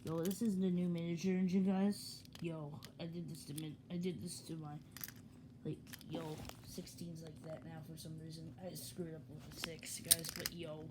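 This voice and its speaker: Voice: mid-range voice, flat delivery, moderate pace. A speaker chatting casually and informally to camera in slangy, repetitive phrases, recorded on a handheld device in a small room. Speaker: hobby video maker. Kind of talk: casual chat showing toy trains to camera. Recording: noisy amateur camera recording.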